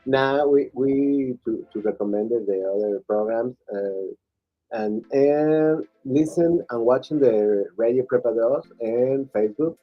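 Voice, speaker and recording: A man's voice: medium pitch, dull audio. A man, a native Spanish speaker, hosting a student radio show in hesitant English that is full of restarts and repeated words. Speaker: radio host.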